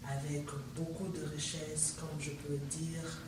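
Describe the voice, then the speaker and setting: A woman's voice: low-pitched, level tone, slow, background noise. Woman, from the Congo, interview guest, hesitant, thoughtful, distant mic, echoey room. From Democratic Republic of the Congo. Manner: thoughtful, hesitant with many fillers. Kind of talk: conversational interview.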